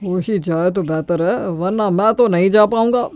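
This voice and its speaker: A man's voice: high-pitched, normal speed, a little mellow, no echo, close to an everyday voice. A man as a calculating middle-aged father, dry sound. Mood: grumbling, weary. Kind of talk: Hindi cartoon moral-story dubbing.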